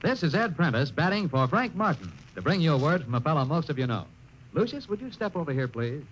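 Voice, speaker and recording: A man hosting a radio ad segment with jovial banter, his pitch swinging lively up and down. The old broadcast sound is dull and lo-fi. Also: fast talker, a little hiss or hum. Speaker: announcer. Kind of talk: 1950s radio commercial.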